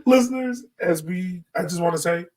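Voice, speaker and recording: A man's voice: high-pitched, lively intonation, moderate pace, a little mellow, recorded from a distance. An American man hosting a podcast in a casual, informal way, speaking directly to his listeners from a little way off the mic. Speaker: podcast host.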